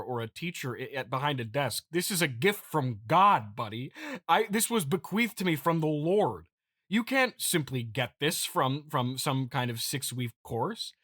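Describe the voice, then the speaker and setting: A man with a forceful, bright voice in a fairly high register delivers an improvised comedic monologue as a podcast host. He speaks haltingly, restarting and repeating words in a mock-earnest, aggrieved manner. The sound is dry and close, with little room to it. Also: no room sound. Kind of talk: improvised comedic monologue reading listener feedback.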